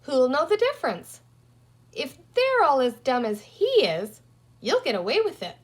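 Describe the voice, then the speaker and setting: A woman's voice: high-pitched, big pitch swings, measured pace, pitched above the performer's own voice. A woman voicing a bossy, resourceful young girl in a children's story, talking emphatically as she reasons aloud. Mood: scheming. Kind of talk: children's storybook character reading.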